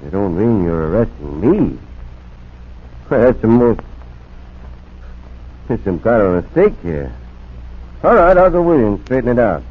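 An actor playing a cornered, folksy outlaw with menace in him, in a 1940s radio drama. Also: male voice, on the low side, big pitch swings, a little slow, long pauses, slightly rough, heavily acted. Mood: folksy, defensive. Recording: noisy, narrow-band vintage radio recording.

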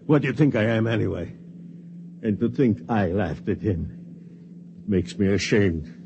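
Guy voicing a big gruff strongman, low and lively, muffled old radio. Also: moderate pace, natural voice. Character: strong, gruff male prisoner, Polly, simple speech. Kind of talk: 1950s radio drama acting. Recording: dull, noisy old radio broadcast.

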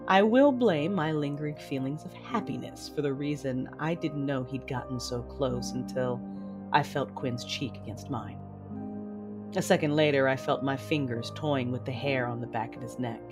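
A woman playing a conflicted, commanding Sith noblewoman in an audio drama, measured and controlled, with dramatic delivery. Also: rumbling low. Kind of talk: first-person audio drama narration and dialogue. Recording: music behind the voice.

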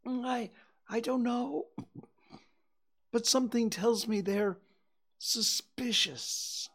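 A reader putting on Pooh, a slow, fretful toy bear. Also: mid-range voice, a bit bright, pitched above the performer's own voice. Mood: anxious. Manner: slow, ponderous, hesitant with stammers. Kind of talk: storybook reading.

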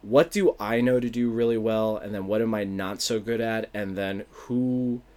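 A man with a Philadelphia accent who talks as an author in a casual interview, rambling and softening his consonants. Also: lowish voice, normal speed. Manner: rambling, animated, drops consonants. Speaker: author and playwright.

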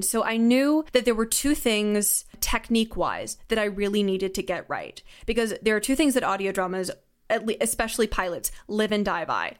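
A woman aged nineteen talking casually and candidly as an interview guest, chatty and self-deprecating. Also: medium pitch. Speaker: guest, audio drama writer and sound designer.

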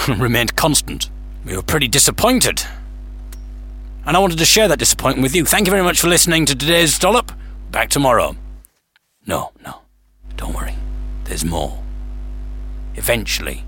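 A man hosting a podcast, narrating a scripted story with theatrical suspense, pauses and wry humour. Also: mid-range voice, sharp tone. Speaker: podcast host. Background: music.

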